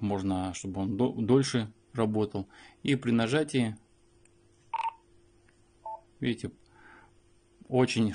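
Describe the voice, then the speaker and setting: A man's voice: lowish voice, warm tone. A man giving an informal product review and tutorial, explaining things in a matter-of-fact way. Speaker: phone reviewer.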